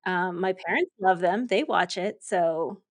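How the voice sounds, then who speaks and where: A woman's voice: fairly low pitch, measured pace. Chatty woman who laughs a lot. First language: English. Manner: chatty, laughs often, many fillers. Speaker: podcast host, interviewed guest. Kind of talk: casual interview conversation.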